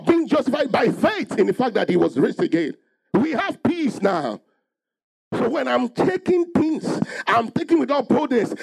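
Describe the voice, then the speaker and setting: Guy preaching a fired up sermon, really high pitched raspy creaky voice, repeats his lines for emphasis. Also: darkish tone, rough recording. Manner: emphatic, declarative, repeating phrases for effect.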